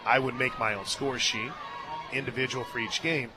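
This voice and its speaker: A man doing live radio play-by-play of softball, mixing excitable calls with chatty asides. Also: medium pitch, normal speed, background noise. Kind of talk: live softball commentary mixed with casual chat. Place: stadium radio booth.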